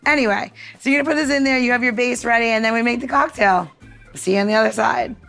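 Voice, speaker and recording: A woman's voice: on the high side, quick pace, creaky, fairly bright tone, soft background music. A woman co-hosting a podcast, talking casually and informally in a rambling, chatty way, as if walking someone through a recipe. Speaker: podcast co-host giving drink recipes. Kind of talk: casual recipe walkthrough and chat.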